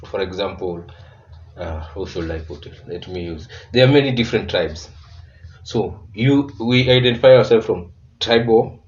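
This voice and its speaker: A man with a Kenyan accent hosting a reaction video in casual commentary, relaxed and searching for words with emphatic bursts. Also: fairly low pitch. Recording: distant muffled mic with faint hiss.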